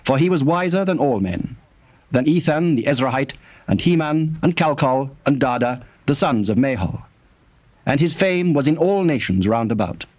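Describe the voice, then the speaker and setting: A man narrating scripture from a script in a formal, measured manner. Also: medium pitch, lively intonation, darkish tone.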